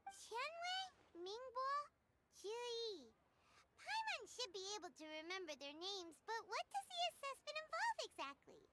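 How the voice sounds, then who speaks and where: An actress playing a tiny, excitable female fairy companion in a video game who talks about herself in the third person. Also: very high-pitched, unhurried, very noisy, heavily acted. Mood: eager. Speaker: game character. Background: game soundtrack music.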